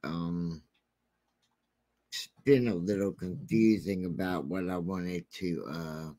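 A man with a deep, scratchy voice hosting a true crime channel, talking casually and rambling with many fillers. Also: slow pace, mellow.

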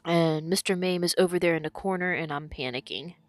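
Woman, lower voice, hoarse from illness, casual gameplay commentary that explains each move; faint music under. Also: brisk, no echo. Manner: explanatory, talks through her decisions. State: losing her voice from illness.

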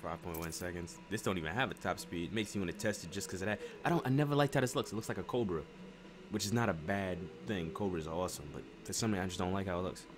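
A young American man with a deep voice chats casually through a video game. He rambles in slang, thinking out loud, over clear background noise and car game sounds. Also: fast talker. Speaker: gaming streamer. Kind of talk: casual let's play commentary. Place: room at home. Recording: clearly noisy home webcam stream.

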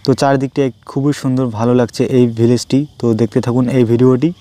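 Brisk, even-toned man. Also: medium pitch, faint music behind. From West Bengal, India. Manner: repetitive, loosely improvised phrasing. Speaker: travel vlogger. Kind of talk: travel vlog narration pointing out roads and places.

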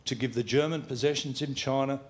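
A man's voice: medium pitch, fairly even intonation, brisk. A man in the audience, making an earnest argument into a handheld microphone. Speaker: audience member asking a question.

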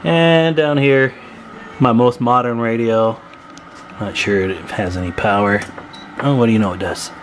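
A man's voice: mid-range voice, steady tone, unhurried, pauses often, slight background noise. Casual man showing off his gear. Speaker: CB radio hobbyist showing his equipment. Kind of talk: casual hands-on video demonstration. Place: indoor room at home with radio gear. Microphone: handheld camera microphone, speaker off screen.

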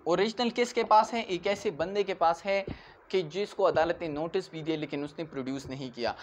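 A man's voice: fairly high pitch, a little fast, a little hiss or hum. A man in his twenties with a Pakistani accent giving an explanatory lecture, didactic, asking questions and answering them himself, switching into English legal terms. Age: 20s. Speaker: law lecturer. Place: indoors in front of a whiteboard.

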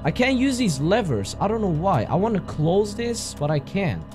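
Jumpy young man yelling through a horror game. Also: sits high, very animated, normal speed, faint music behind. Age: young adult. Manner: jumpy, yelling and gasping at scares. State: hoarse, voice strained from screaming. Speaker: gaming streamer. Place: small room indoors. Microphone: headset.

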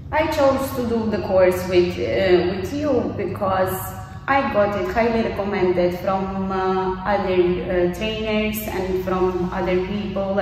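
A woman's voice: medium pitch, darkish tone, slight background noise. Bulgarian-accented woman, earnest testimonial, lots of ums, voice sounds far off in a roomy echoey space. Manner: earnest, with frequent fillers and repetitions. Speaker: course graduate giving a testimonial. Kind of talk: interview testimonial. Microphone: camera mic from a distance. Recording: echoey room, voice from a distance.

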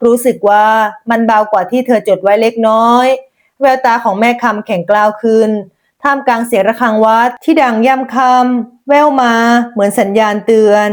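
Woman, storyteller, higher-pitched voice, scripted folk tale narration.

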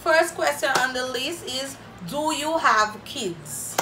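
Slow-talking Saint Lucian woman vlogger. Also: sits high, background noise. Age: 20s. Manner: chatty, playful, reading questions from paper. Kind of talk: casual Q&A to camera. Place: small room indoors, plain wall. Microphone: camera in front, head-and-shoulders framing.

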